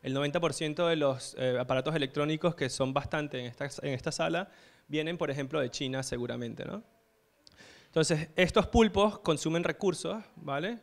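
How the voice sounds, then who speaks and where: A man's voice: a little high, normal speed, creaky. Venezuelan man giving a stage talk. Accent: Venezuelan Spanish. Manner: hesitant, many fillers and tag questions. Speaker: conference speaker. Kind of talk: conference talk. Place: stage at an indoor event hall. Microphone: handheld mic.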